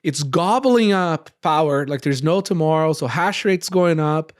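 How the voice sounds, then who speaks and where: A man from Brazil hosting a casual roundtable podcast, rambling and often tagging his points with quick questions. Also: fairly high pitch. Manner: rambling, frequent tag questions. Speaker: podcast host.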